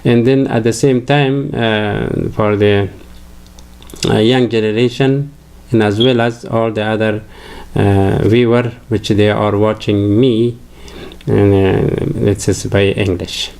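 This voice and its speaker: An older man from Afghanistan with an Afghan accent, a native Farsi speaker, giving a halting religious lecture full of fillers and restarts. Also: lowish voice, steady tone, creaky, a little mellow, clear, resonant tone. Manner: hesitant, many fillers and restarts.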